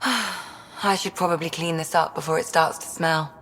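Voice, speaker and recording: Low, creaky-voiced ship's doctor. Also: female voice, fairly even intonation, normal speed, fairly bright tone. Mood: absorbed. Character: composed, caring female ship's doctor and scientist. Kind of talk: video game voice acting. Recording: reverberant room with faint music.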